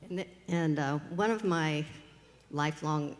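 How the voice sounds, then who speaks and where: A woman's voice: sits low, unhurried. A woman on a church panel, talking in an earnest, self-deprecating way. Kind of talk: panel discussion. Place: church hall. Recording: echoey hall.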